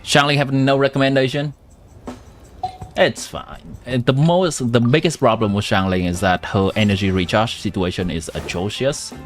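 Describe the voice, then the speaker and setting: A man's voice: medium pitch, normal speed. A young man from Vietnam, a native Vietnamese speaker with a Vietnamese accent, chatting casually on a live game stream and answering viewers while giving game advice. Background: game music.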